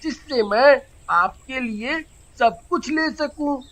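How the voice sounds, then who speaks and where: A woman voicing an innocent, earnest young schoolboy in a children's story, childlike and deliberate. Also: high voice, fairly bright tone, heavily acted. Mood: curious, pleading.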